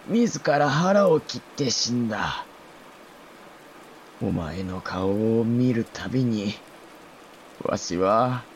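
An older samurai lord near death speaks slowly and haltingly, with dramatic swings in pitch. He is a tormented father in a historical audio drama, lordly and archaic in speech, with faint rain-like noise behind him. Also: creaky, lo-fi sound, exaggerated voice. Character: dying older samurai lord, anguished father confessing fear.

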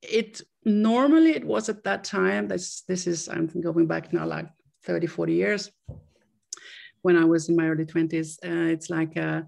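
A woman in her fifties from Sweden with a Swedish accent, a guest telling her story in a casual interview, halting and repeating words. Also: on the low side, vocal fry, darkish tone.

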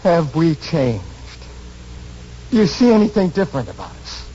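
An actor playing an earnest, insistent young husband and son in a 1940s radio drama, with emotional delivery. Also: male voice, a little high, sing-song, moderate pace, warm tone, slightly rough. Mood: agitated. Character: intense, proud young husband and devoted son. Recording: muffled, noisy 1940s radio recording.

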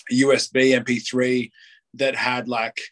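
A man's voice: mid-range voice, little pitch movement, unhurried, a bit bright. Rambling man in his late twenties. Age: late 20s. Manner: rambling, heavy use of "like", acts out quotes. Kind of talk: casual podcast chat.

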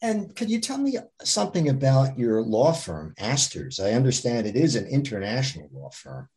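A man's voice: medium pitch, very animated, moderate pace, a little mellow. A man hosting and producing a podcast, reading a formal introduction and asking interview questions. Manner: formal, reads clearly.

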